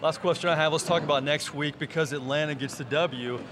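A middle-aged man hosting a sports show, asking brisk questions into a handheld mic on a studio set. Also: a little high, steady tone, background noise. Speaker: sports TV host. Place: studio set with team backdrop, indoors. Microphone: handheld microphone.

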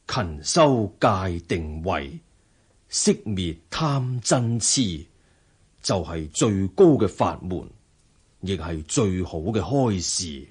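An actor playing a serene, dignified Buddhist monk in a radio drama, measured and composed, with a Hong Kong Cantonese accent. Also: male voice, deep voice, slightly rough, full character voice. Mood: calm, dignified.

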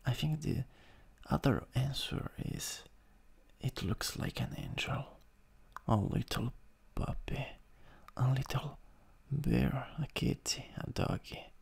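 A man with an Italian accent playing a mean, sadistic vampire master in an improvised ASMR roleplay, husky and breathy with a menacing, theatrical manner that drifts into whispers. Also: mid-range voice, very animated, voice pushed up, heavily acted. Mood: mock tender. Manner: slow, menacing, theatrical, chuckling.

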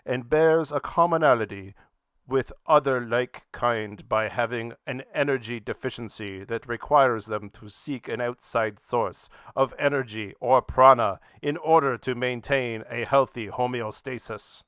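Man, podcast reader, casual banter, wry asides, medium pitch, close dry recording. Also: unhurried, a bit bright, clean tone, no room sound.

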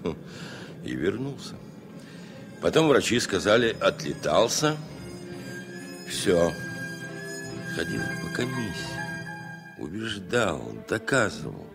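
A seasoned male pilot thinks aloud in a radio drama. His voice is very deep and creaky, and he speaks slowly and stubbornly, steadying his own nerves. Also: big pitch swings, music in the background, heavily acted. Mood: defiant, resolute. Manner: deliberate, musing, self-talking. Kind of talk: 1970 radio play monologue.

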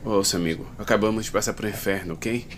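A man's voice: on the low side, quick pace, lightly acted. A Brazilian man playing a protective, desperate father in a dramatic video game fan dub. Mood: pleading. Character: protective, desperate father fleeing with injured daughter. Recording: clear noise and ambience behind voice.

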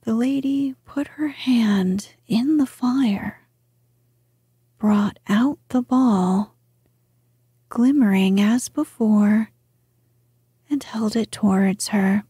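A woman narrating a storybook in a soft whisper. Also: fairly high pitch, drawn-out words, long pauses, breathy edge, dry recording, clean studio sound.

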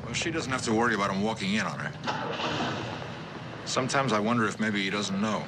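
A male actor as a knowing guard trading gossip in a 1960s TV drama. His voice is fairly low, with a musing, insinuating tone. Music is loud behind him. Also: a little fast, natural voice, poor audio. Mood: musing, gossipy. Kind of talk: 1960s television drama acting.

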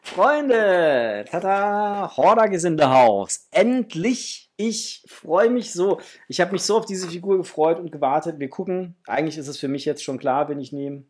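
A middle-aged man with a slightly husky voice, chatting casually and enthusiastically to viewers as the host of an unboxing video. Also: high voice, animated, unhurried, hardly any pauses, darkish tone. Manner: enthusiastic, effusive. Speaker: host of a toy collecting channel. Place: small room at home with framed posters, indoors.

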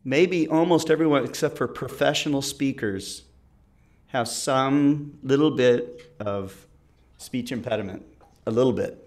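A middle-aged host talks in an even, mid-pitched voice over a video call. He jokes about his guest and then muses about how he speaks. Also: unhurried. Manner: playful teasing, then reflective. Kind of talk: casual video call chat.

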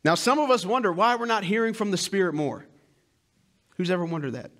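A man in his thirties preaching a sermon on a church stage through a headset mic, with loud, emphatic lines and hushed asides. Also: high voice, lively intonation, fairly quick. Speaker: pastor.

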